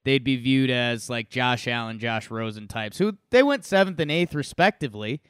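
A man chatting casually about football on a podcast, meandering and filler-heavy. His voice is smooth and clear at an average pitch. The sound is close and dry, with no room echo. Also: animated, moderate pace, fairly bright tone. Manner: rambling, with fillers and laughs. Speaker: podcast co-host. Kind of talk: casual sports chat.

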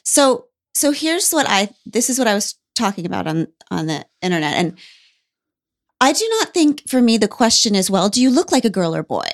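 A woman's voice: mid-range voice, very animated, normal speed. A woman hosting a conversation who asks probing, reflective questions. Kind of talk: conversational interview.

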